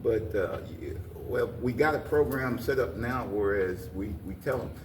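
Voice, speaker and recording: An older American man giving an informal interview, talking in a halting, folksy way with repeated phrases. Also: mid-range voice. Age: 60s or older. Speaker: interview guest, community leader. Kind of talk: informal talk-show interview. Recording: roomy echo, distant mic, faint noise.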